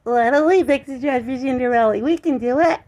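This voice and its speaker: A man's voice: extremely high pitch, brisk, darkish tone, pitched above the performer's own voice. A man voicing an eager, helpful little mouse in a children's storybook.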